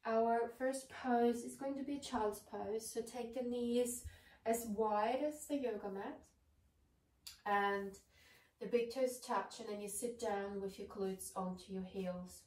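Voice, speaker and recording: A woman in her thirties with a blocked nose from a cold, guiding a yoga session in a gentle, soothing way from across a bare living room. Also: a little high, fairly even intonation, slow. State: congested with a cold. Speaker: yoga teacher. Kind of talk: guided yoga instruction. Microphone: far camera. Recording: echoey room, mic far off.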